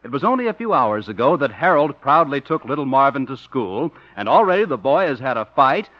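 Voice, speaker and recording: Animated American radio announcer, old-time sound. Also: male voice, mid-range voice, brisk. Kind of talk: formal scripted 1950s radio announcing. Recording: muffled vintage 1950s radio recording.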